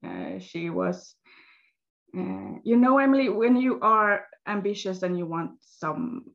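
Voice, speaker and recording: A woman speaking slowly and haltingly, pausing to search for her words. Her lowish voice has a gravelly fry to it. The audio sounds dull and lo-fi. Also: sing-song. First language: Swedish. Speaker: guest, health coach. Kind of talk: interview storytelling.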